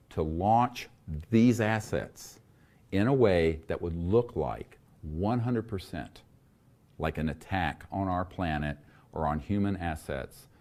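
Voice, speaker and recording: A man giving a public lecture with deliberate, emphatic phrasing. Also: low-pitched, darkish tone. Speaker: lecturer and UFO researcher. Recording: reverberant hall.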